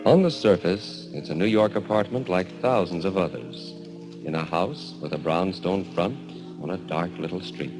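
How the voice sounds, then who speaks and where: A man narrating a 1940s radio horror show in an ominous, dramatic, deliberate manner. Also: sits low, music in the background, rough recording.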